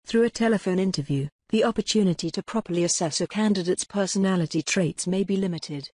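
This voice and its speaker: A woman reading scripted tutorial narration in a measured, impersonal, step-by-step way. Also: on the low side, a little mellow, slightly rough, dry recording.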